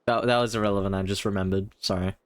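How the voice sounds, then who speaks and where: A man's voice: lowish voice, fairly even intonation, fast, no room sound. A man giving casual livestream commentary on a game, in rambling, wry asides. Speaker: game streamer.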